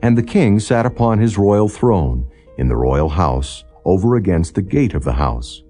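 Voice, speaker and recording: A man narrating scripture as an audiobook in a solemn, measured, formal storytelling delivery. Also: low voice, big pitch swings, dark tone, soft background music. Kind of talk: solemn scripture reading, non-dramatized.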